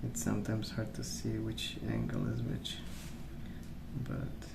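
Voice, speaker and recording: Roomy echo, audible background noise, soft-spoken man, creaky voice, hushed ASMR musing. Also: lowish voice, very animated, slow pace. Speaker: ASMR video host. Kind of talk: soft-spoken ASMR rambling while colouring.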